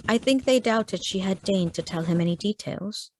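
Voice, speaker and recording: An actress playing a sheltered, eager young gentlewoman in a radio play, with an earnest, measured delivery. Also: medium pitch, slight background noise, natural voice. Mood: wistful, earnest. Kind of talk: dramatic radio play acting.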